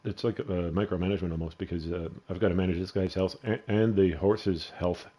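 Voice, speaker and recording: Deep-voiced Canadian man, rambling. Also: steady tone, fairly quick, mellow. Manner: rambling and profane. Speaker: gaming video host. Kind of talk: casual gameplay commentary.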